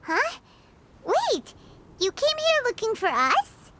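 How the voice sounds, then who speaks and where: Squeaky high excitable little fairy. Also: female voice, takes it slowly, exaggerated voice. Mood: surprised, curious. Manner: speaks of self in third person. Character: small excitable childlike fairy companion, cheerful and chatty. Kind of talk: animated video game character acting. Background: music.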